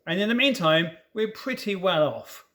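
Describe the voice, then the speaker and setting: A man's voice: high voice, expressive, moderate pace, bright, forceful tone. A man voicing a sensible, motherly and mildly bossy older schoolgirl in a storybook reading. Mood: reassuring, chiding.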